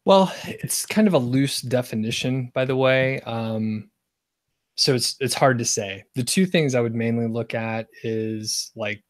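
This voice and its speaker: A man with a mid-pitched voice talks as a guest on a casual livestream. He explains things in a loose, easygoing way, full of small fillers. His voice sounds dry and close. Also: a little slow, no room sound. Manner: explanatory, lots of "like" and "kind of". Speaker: guest expert.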